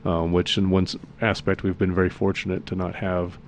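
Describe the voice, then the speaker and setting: A man's voice: low-pitched, monotone, a little fast, slight background noise. A man talking casually as a roofing contractor guest on a radio show, agreeable and folksy.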